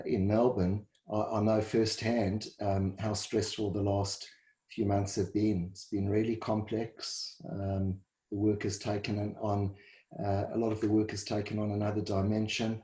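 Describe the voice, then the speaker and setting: A middle-aged man with a South African accent talks in a low voice, stopping and restarting as he hunts for words. His voice comes over a video call, with a roomy echo around it. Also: darkish tone. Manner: halting, often repeats words while searching for phrasing. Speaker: guest dietitian and first responder. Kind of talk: conversational interview answers.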